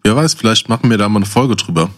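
A man's voice: lowish voice, expressive, fast talker. Blunt man co-hosting a podcast. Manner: opinionated, blunt, colloquial. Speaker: podcast co-host. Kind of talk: casual podcast conversation.

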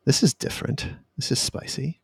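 A man's voice: normal speed, no room sound, natural voice. A man voicing a puzzled, wary woman who was once a noble.

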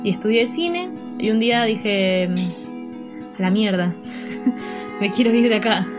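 A woman's voice: medium pitch, takes it slowly, over music. A young Argentine woman in her twenties with an Argentine accent, telling her own story in a chatty, candid way as a podcast guest. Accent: Argentine Spanish. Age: 20s. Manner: chatty and candid, with fillers and laughs. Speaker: interview guest. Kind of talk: casual storytelling.

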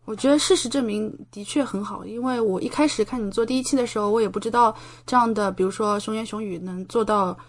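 Female podcast guest, young adult, mid-range voice, casual and chatty, expressive, enthusiastic delivery. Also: mid-range voice, fast, few pauses. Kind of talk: casual chat.

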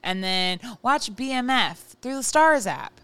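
A young woman co-hosting a podcast in casual, animated chat, swearing freely. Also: on the high side. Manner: animated, swears often, quick to laugh. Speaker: podcast co-host.

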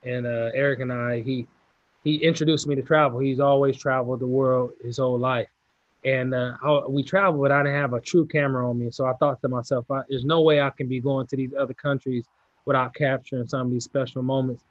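A young man from California, a football player answering interview questions in a relaxed, laid-back way. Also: medium pitch, brisk, no room sound, muffled recording. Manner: relaxed, laid-back, repeats short agreements.

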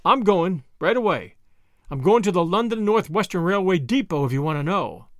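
A man voicing a brash, excitable young American in an audiobook, with slangy, blustering exclamations and an American accent. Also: high-pitched, moderate pace, dry recording, studio quality. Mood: subdued, conciliatory. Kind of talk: audiobook character voice.

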